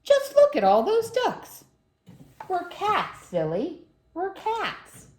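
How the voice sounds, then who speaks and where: A woman's voice: mid-range voice, huge pitch swings, slow, roomy sound, slight background noise. A woman voicing a playful, bossy talking cat from a children's storybook. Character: friendly talking cats schooling a confused duck.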